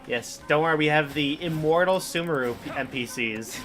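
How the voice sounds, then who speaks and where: A young man chats loosely over his game stream, his voice a touch high. Faint game music plays behind him. He wanders through thoughts full of "like" and trailing pauses. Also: a bit bright. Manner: rambling, many fillers and "like". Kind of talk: casual live game commentary.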